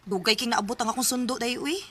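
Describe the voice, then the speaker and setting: A young woman playing a calm, polite teenage schoolgirl in a radio drama. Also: fairly high pitch, quick pace, breathy edge. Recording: loud cricket ambience behind. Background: crickets and insects.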